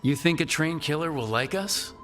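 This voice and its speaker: An actor playing an earnest, direct resistance hero in a fantasy video game. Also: male voice, fairly high pitch, expressive, normal speed, a bit bright, faint music behind. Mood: earnest, resolute.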